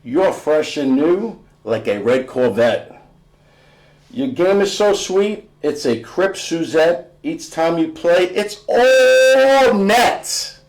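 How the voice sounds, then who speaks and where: Man putting on a high-pitched teasing mom. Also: expressive, slow, poor audio. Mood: proud teasing. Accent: American. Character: teasing, affectionate mother of a teenage boy.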